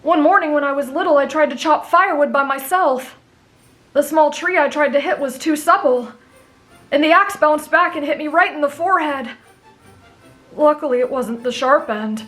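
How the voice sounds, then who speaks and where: A woman's voice: high voice, moderate pace, faint music behind. A woman playing a kind, earnest teenage boy swordsman in an anime fan drama, speaking politely and patiently. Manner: gentle, polite, patiently explanatory.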